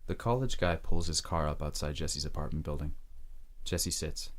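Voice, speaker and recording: A man with an extremely low, deep voice reads scripted narration for an audio drama. He works as the narrator, setting out each scene in present-tense prose. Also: moderate pace.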